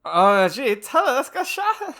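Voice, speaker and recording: A woman voicing a lively, crude teenage goth girl in a comic story reading, exaggerated and slangy. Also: high-pitched, normal speed, exaggerated voice. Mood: animated.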